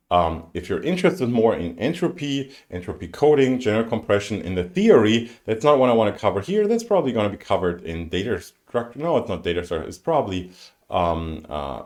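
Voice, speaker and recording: German-accented male lecturer, very animated pitch. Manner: informal, thinking aloud with asides. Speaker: university lecturer. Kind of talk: explanatory lecture to students. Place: indoors, live-streamed lecture over slides.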